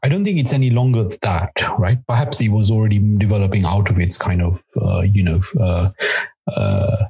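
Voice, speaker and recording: Middle-aged male law scholar from Kenya, very low husky voice, hesitant and thoughtful. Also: big pitch swings, normal speed, warm tone. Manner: hesitant, many restarts and fillers. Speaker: law academic, interview guest. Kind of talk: thoughtful conversational answers.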